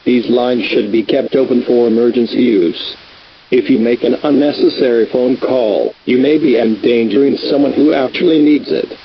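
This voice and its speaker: A man acts as a grave president of the United States in an emergency drama. He speaks in formal, measured oratory at a middling pitch. The broadcast sounds dull and lo-fi, with a faint hiss behind him. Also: close to an everyday voice. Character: solemn male US president addressing the nation under attack. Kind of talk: formal scripted emergency address.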